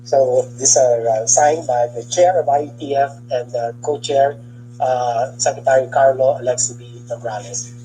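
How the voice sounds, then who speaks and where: A government official with a Filipino accent reads a formal briefing from a document, enunciating lists deliberately. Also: medium pitch, mellow, poor audio. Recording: clear background noise and hum.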